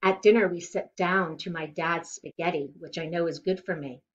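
A middle-aged woman reading a children's picture book aloud in an expressive, deliberate way, recorded indoors at home. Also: on the low side, lo-fi sound. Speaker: children's storybook reader. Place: living room at home, indoors.

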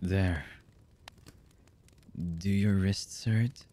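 An actor playing a brooding, distrustful young anime villain in a boyfriend ASMR roleplay, with a husky, breathy voice and halting, hushed delivery. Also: male voice, low-pitched, fairly even intonation, slight background noise. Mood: guarded, softening. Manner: slow, halting, hushed delivery with long pauses.

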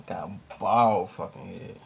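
A man's voice: deep voice, fairly even intonation, unhurried. A young American man chatting casually as a home cooking vlogger, with a slangy, offhand way of talking. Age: young adult. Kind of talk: casual chat while demonstrating cooking. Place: small home kitchen, indoors. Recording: clearly noisy amateur camera recording.

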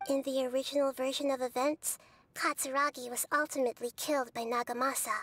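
A wise girl goddess from a game, her high voice calm and gentle as she recounts the past. She tells the story in an even, soft manner. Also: a little fast. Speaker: narrator of memories. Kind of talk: game voice acting.

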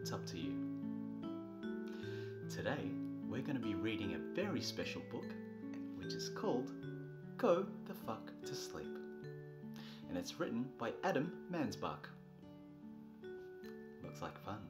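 A man in his thirties doing a comedic, theatrical storybook reading, moving between a soft bedtime tone and exasperated delivery. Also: on the low side, big pitch swings, a little slow, pauses often, over loud music. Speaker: presenter reading a picture book. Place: small furnished nursery room indoors.

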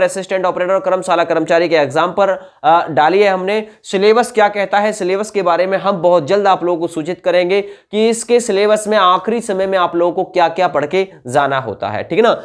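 A man's voice: high-pitched, a little fast, hardly any pauses. Young man explaining emphatically. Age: 20s. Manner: emphatic, repeats points, checks understanding. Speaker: exam coaching YouTuber. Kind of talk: informal explanatory lecture to camera. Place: indoor room with whiteboard.

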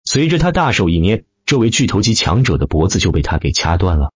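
A man's voice: low-pitched, big pitch swings, quick pace, slightly rough, no room sound, muffled recording. A man reading a dramatic scripted story as an audiobook narrator.

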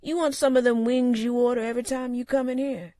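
Narrator doing a gruff older bartender, raspy growl, folksy drawl, dry sound. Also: mid-range voice, little pitch movement, fast talker, dry recording, voice pushed up, natural voice. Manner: folksy drawl, loud and blustery. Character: gruff older male bartender uncle, protective.

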